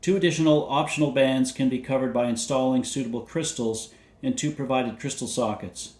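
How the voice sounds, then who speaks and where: A man with a mid-pitched voice narrates a scripted technical overview. He sounds measured and matter-of-fact as he works through details like a presenter reading specifications. The sound is noticeably roomy, with clear echo around the voice. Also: darkish tone. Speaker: presenter of vintage radio videos. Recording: roomy, echoey indoor sound.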